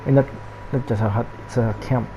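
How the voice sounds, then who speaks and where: A woman's voice: a bass voice, steady tone, brisk, warm tone, a little hiss or hum, poor audio. A woman giving casual, hesitant, muttered commentary as she plays a game. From Philippines. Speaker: gameplay commentator. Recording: game music behind the voice. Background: game music.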